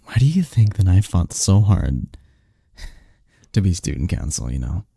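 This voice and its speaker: A man playing a lovestruck young research assistant in an intimate ASMR romance roleplay, murmuring haltingly as he confesses to his best friend. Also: sits low, big pitch swings, vocal fry, mellow, slightly rough, no echo, clean studio sound, exaggerated voice. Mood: fond, confessing. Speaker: audio roleplay performer.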